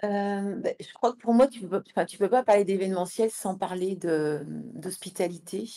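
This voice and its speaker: A woman being interviewed as a guest, speaking conversationally and haltingly, often repeating words as she builds her answers. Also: medium pitch, dark tone. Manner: hesitant, halting delivery with many repeated words. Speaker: interview guest, founder and manager of an event venue.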